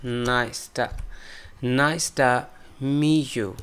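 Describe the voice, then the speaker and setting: A man teaching English pronunciation, modelling phrases slowly and then repeating them quickly for his student to copy. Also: mid-range voice, fairly even intonation, a bit bright, clean tone. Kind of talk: slow drilling of spoken phrases.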